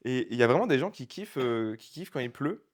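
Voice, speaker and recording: A man's voice: medium pitch, expressive. A young man co-hosting a casual podcast, joking, rambling and teasing his friend, talking into a handheld mic. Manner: jokey, rambling, quick to tease. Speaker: podcast co-host. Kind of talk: casual chat.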